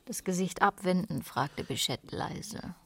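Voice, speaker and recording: Cool, intimate woman narrator for literary radio drama, lowish with vocal fry. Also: measured pace, a bit bright, heavily acted. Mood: cool, hushed. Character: cool, intimate female narrator of a seedy love story.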